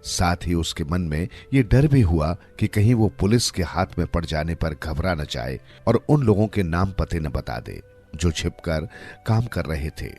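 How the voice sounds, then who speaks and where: A man reading scripted moral tales as a storyteller, in a measured, expressive way. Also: low voice. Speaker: storyteller narrator. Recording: music under the voice.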